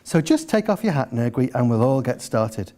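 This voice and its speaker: A man's voice: medium pitch, sing-song, moderate pace, warm tone, lightly acted. A man reading aloud as a woman primary school teacher, polite but flustered and insistent. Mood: insistent, exasperated.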